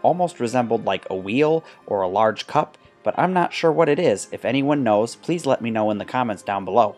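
A man from Detroit with an American accent, narrating an exploration vlog in a measured, explanatory way. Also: mid-range voice, expressive, a little mellow, faint music behind. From Detroit, United States. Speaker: urban exploration video host.

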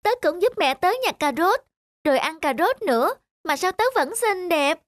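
A woman voicing a cute, excitable childlike animal in a children's cartoon, expressive and exclamatory. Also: extremely high pitch, normal speed, no echo, clean studio sound. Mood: cheerful, vain. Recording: music behind the voice.

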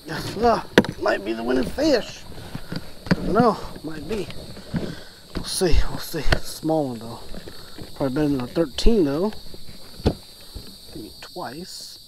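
A man's voice: fairly high pitch, expressive, slow pace, vocal fry, long pauses. A young man muttering short remarks to himself while fishing from a small boat, recorded on a body-worn action camera. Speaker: fishing vlogger. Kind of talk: casual self-talk while fishing. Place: small boat on a lake outdoors. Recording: outdoor wind and water noise.

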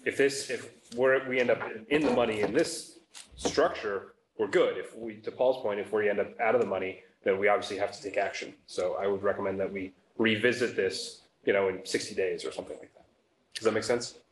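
A man on a town board arguing a point and making a motion at a meeting, brisk and persuasive. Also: on the low side, fairly even intonation, roomy sound, rough recording. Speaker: board member.

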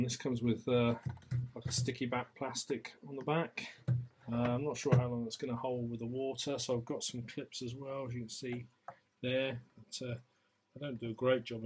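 A man talks casually through a hobby update in an informal, halting way. His medium-pitched voice stays fairly flat, with faint noise behind it on an amateur phone recording. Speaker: hobbyist vlogger. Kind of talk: casual hands-on video update.

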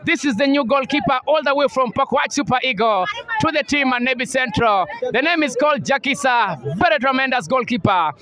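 Young male field reporter, very high and quick, energetic, handheld mic, loud crowd outdoors. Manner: energetic, switches between English and local language. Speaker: sports interviewer. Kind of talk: post-match field interview. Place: outdoor football field among a large crowd.

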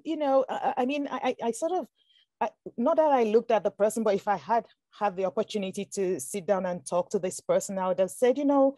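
A woman's voice: on the high side, dark tone, no echo, studio quality. A woman from Nigeria, an author speaking as a guest on a podcast, talking thoughtfully with frequent restarts and fillers. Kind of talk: conversational podcast interview.

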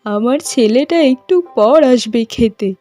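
A woman's voice: fairly high pitch, unhurried, dark tone, lightly acted. A woman playing a nagging, melodramatic middle-aged village mother-in-law in a cartoon, speaking. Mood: angry nagging. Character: domineering, scolding village mother-in-law, manipulative. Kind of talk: cartoon voice acting. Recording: music behind the voice.

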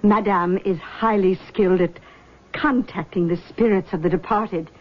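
A woman's voice: mid-range voice, moderate pace, lightly acted. An actress playing a possessive, manipulative middle-aged mother in a 1970s radio drama, soothing and controlling. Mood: persuasive. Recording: dull lo-fi old radio broadcast.

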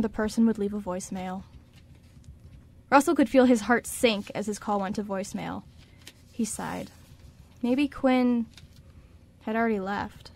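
A woman reading scripted narration for a radio drama. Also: on the high side.

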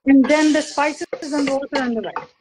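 High-voiced woman on a phone call. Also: brisk, background noise. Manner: gentle, explaining step by step. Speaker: guest cook. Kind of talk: casual video chat. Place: indoor room, phone held close. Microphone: phone at arm's length.

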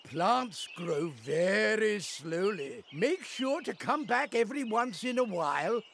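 A man's voice: sits high, expressive, slow pace, creaky, fairly bright tone, slight background noise, exaggerated voice. A man voicing a jolly elderly grandfather pig in a children's game, patiently explaining things with animation. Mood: cheerful, encouraging. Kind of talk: children's game character dialogue.

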